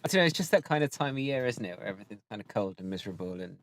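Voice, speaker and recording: A man's voice: on the low side, racing. Easygoing male host, full of ums. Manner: relaxed, hesitant, many ums. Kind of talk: casual chat on a live stream.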